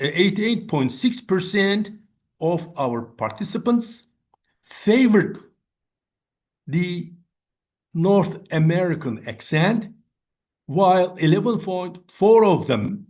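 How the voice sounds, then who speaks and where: A man with a Turkish accent and Turkish as his first language, giving an academic conference presentation in a measured way, often restating points. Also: a little high. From Turkey. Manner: measured, restates and rephrases with "I mean".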